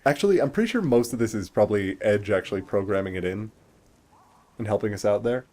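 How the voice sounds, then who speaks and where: A man's voice: fairly low pitch, expressive, fast, darkish tone. A man giving casual game commentary as the host, explaining things in a conversational way. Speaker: gaming video host.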